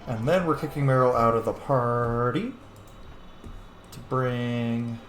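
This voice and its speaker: A man's voice: mid-range voice, takes it slowly, fairly bright tone, soft background music. A man giving casual gameplay commentary, playfully talking back to the game's characters. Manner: playful, muttering responses to the game. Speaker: gamer playing along in character. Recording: echoey room with faint noise.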